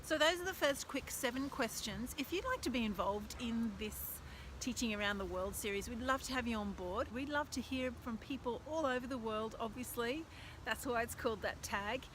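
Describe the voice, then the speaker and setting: A woman's voice: a little high, moderate pace, background noise. A woman in her forties with an Australian accent, an English teacher chatting casually and upbeat to camera for her video channel. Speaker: teacher vlogger.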